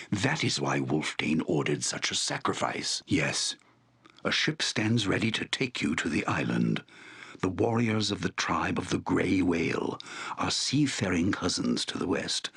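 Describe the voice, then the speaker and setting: A noble fantasy-game shaman with a very deep, husky voice. He speaks slowly and formally, with solemn gravity and broad swells of intonation. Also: fairly bright tone, exaggerated voice. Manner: solemn, formal, deliberate delivery. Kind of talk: dramatic fantasy video game voice acting.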